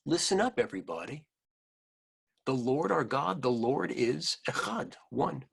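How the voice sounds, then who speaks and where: Man, children's story reader, slow pace, creaky husky voice, simple explanatory asides. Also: mid-range voice. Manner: expressive, halting asides, speaking simply as to young children. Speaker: rabbi reading a picture book aloud.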